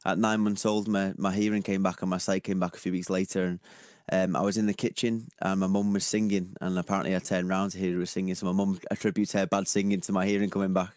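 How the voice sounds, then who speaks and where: A young man from Liverpool with a low, deep voice, talking quickly and openly as a studio guest. He sits at a studio microphone, and the sound is close and dry. He speaks with an easy manner and laughs readily. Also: dry recording. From Liverpool, England. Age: 20s. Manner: open, relaxed, laughs easily. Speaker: guest athlete. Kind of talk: radio interview. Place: small radio studio.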